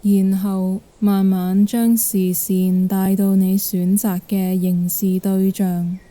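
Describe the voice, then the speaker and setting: A woman with a Hong Kong Cantonese accent guiding a meditation in a gentle, calm manner. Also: mid-range voice. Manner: gentle, calm, unhurried instruction. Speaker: guided meditation instructor. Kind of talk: guided meditation narration.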